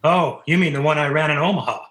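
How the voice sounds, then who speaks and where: A man's voice: on the high side, quick pace, fairly bright tone, natural voice. A man playing a breezy, smugly boastful former athlete and old boyfriend in a 1940s-style radio comedy.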